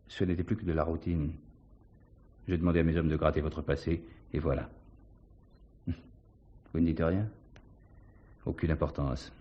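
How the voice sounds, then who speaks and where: A male actor plays a shrewd police commissioner in a stage mystery. His voice sits very low, and he speaks in measured, theatrical phrases. He questions his suspect with assured, ironic courtesy. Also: darkish tone, lo-fi sound, close to an everyday voice. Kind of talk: French stage mystery acting.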